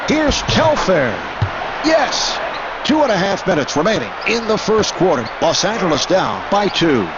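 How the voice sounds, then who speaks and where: A man's voice: sits high, very animated, a little slow, heavy background noise. A man voicing a basketball play-by-play announcer in a video game, calling the action in clipped, emphatic phrases. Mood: excited. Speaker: play-by-play commentator. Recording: muffled game audio, loud arena crowd. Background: roaring crowd and bouncing basketball.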